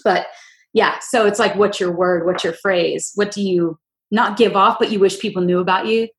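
A woman hosting a podcast in casual chat, with a deep, gruff voice, talking loudly and in long, winding stories. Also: steady tone, moderate pace. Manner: loud, opinionated, rambling storyteller. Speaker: podcast host. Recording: roomy echo.

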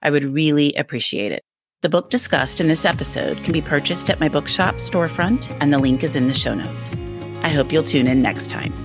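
A woman's voice: low voice, expressive, fairly quick, over music. A woman hosting a podcast, speaking in a casual, conversational style. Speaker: podcast host. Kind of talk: casual podcast chat and sign-off.

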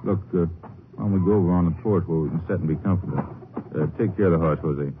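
An actor playing a gruff, grumbling small-time rancher with a folksy drawl in a 1950s radio western. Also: male voice, deep voice, fast, close to an everyday voice. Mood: gruff, annoyed. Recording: tinny, noisy 1950s radio recording.

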